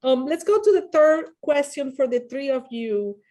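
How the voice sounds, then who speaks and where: A woman's voice: sits high, animated, moderate pace, warm tone. A woman moderating a business panel, thanking a speaker and posing the next question in an upbeat, projecting way.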